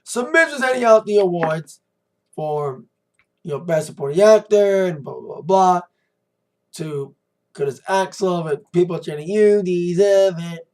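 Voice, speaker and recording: An American man with a slightly hoarse voice, giving a rambling, informal and emphatic recap as a wrestling review vlogger. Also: high-pitched, animated, unhurried, darkish tone. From United States. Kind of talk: casual recap commentary to camera.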